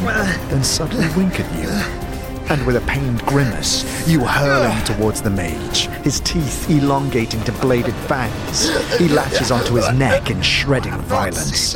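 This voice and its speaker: A man delivering scripted, dramatic fantasy narration as the narrator of an audio drama. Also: mid-range voice. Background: loud music.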